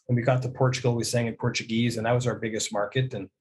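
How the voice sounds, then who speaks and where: A man's voice: mid-range voice, fast. A middle-aged man from Canada telling casual stories on a video call, acting out bits of dialogue as he goes. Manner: animated storyteller who acts out dialogue. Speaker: guest, music producer and audio mixer. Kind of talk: casual anecdotal storytelling. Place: indoor room on a video call.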